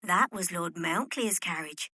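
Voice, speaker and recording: Actress as gossipy unpolished girl of fifteen, radio-play style, forward bright voice, no room sound. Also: fairly low pitch, measured pace, no echo, clean studio sound. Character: gossipy, unpolished fifteen-year-old servant-family girl. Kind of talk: audio drama acting.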